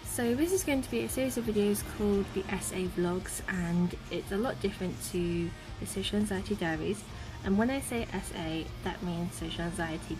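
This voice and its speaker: A young woman with a slightly croaky voice from a sore throat, talking earnestly to camera in a casual vlog, full of "you know" fillers. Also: fairly low pitch, low-quality recording. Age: young adult. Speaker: vlogger. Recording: music playing in the background.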